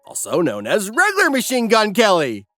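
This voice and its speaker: A man's voice: high-pitched, wildly swooping pitch, moderate pace. A man narrating an anime parody recap as scripted comedy, mock-dramatic and wry. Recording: music behind the voice.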